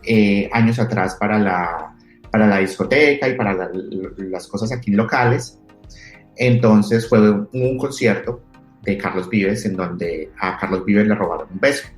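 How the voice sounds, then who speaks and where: A man in his thirties with a Colombian accent, an entrepreneur telling his story in a relaxed interview with frequent restarts. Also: on the low side, measured pace. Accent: Colombian Spanish. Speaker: entrepreneur guest. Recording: echoey room with faint music.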